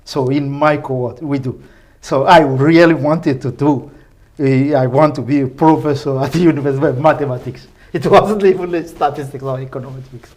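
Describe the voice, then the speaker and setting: A middle-aged man from Benin, a native French speaker with a Francophone West African accent, talking enthusiastically as an interview guest in halting, repetitive phrases. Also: mid-range voice, fairly even intonation, a little mellow. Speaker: research professor, podcast guest. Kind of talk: casual spoken interview.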